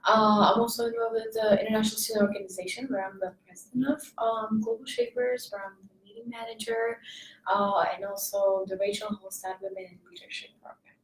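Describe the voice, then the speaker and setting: A woman's voice: mid-range voice, fairly even intonation, fairly quick, distant mic, a little hiss or hum. A young woman from Slovakia, an admissions intern, speaking casually with many fillers on a student panel. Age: young adult. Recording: echoey room, off-mic.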